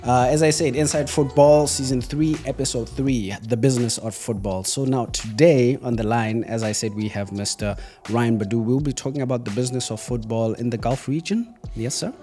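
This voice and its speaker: A man hosts a football podcast, welcoming his guests with upbeat, announcer-style introductions. His voice is mellow and dark. Soft music plays faintly underneath. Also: medium pitch, moderate pace, few pauses. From South Africa. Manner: upbeat, announcer-like intros, friendly back-channel. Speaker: podcast host. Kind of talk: hosting a podcast interview.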